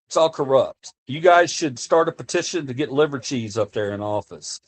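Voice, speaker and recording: A man's voice: medium pitch, animated, normal speed. American man ranting earnestly to his chat. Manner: earnest, impassioned, repeats himself while speaking off the cuff. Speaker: livestreamer talking to chat. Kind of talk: casual live-stream chat. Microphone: streaming desk mic.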